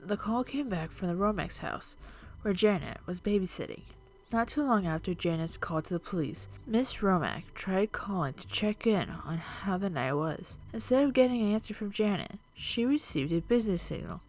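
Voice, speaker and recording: A woman narrating scripted true-crime and urban legend stories as a video host, in a measured, dramatic storytelling manner. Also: mid-range voice, a little mellow, low-quality recording. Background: music.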